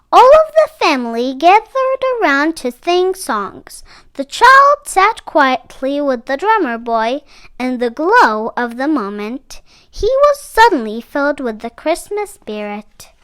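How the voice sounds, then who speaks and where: A woman's voice: sits high, sing-song, slow pace, fairly bright tone. A woman narrating a children's picture book expressively, with dramatic phrasing. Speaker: read-aloud storyteller for children.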